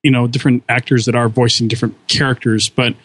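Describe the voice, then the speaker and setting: A man on a podcast chats eagerly in a middle-pitched voice and keeps restarting his thoughts. The sound is dry and close, with no room around it. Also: level tone, quick pace, a bit bright, breathy edge, no echo. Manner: enthusiastic, rambling with frequent restarts. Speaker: podcast co-host. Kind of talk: casual podcast chat.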